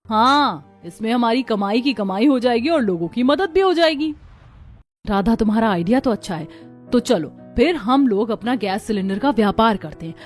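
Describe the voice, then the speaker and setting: An earnest, enterprising village daughter-in-law in a cartoon, acted by a woman. The voice is high and expressive, with a homely manner. Faint music plays behind it. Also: normal speed. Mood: friendly, earnest. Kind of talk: scripted cartoon dialogue.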